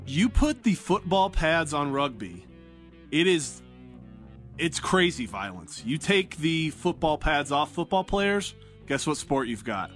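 A man's voice: fairly high pitch, sing-song, a little slow, a bit bright. A man hosting a casual sports and comedy radio show, talking emphatically and repeating words, close on a studio microphone. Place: small studio with acoustic foam. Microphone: close studio microphone with headset. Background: music.

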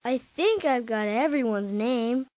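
A woman playing an eager, friendly young schoolboy in an animated children's storybook game. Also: fairly high pitch, unhurried. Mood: earnest, pleased.

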